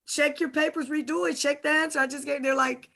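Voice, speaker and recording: A woman's voice: sits high, fairly even intonation, fairly quick, creaky, sharp tone. A woman from Corpus Christi, Texas, a teacher chatting in a class discussion and telling stories that act out the people in them. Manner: chatty, tells anecdotes acting out others. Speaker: teacher in training.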